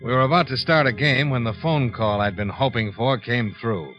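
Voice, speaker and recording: An actor playing a brisk, probing investigator who narrates his case in a 1950s radio drama. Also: mid-range voice, faint music behind, natural voice. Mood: matter-of-fact. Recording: dull lo-fi 1950s radio recording.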